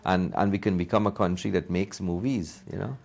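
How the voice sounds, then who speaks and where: A man's voice: deep voice, steady tone, brisk, gravelly, a little mellow. A man being interviewed as a filmmaker, answering earnestly and conversationally, repeating phrases for emphasis. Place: TV studio.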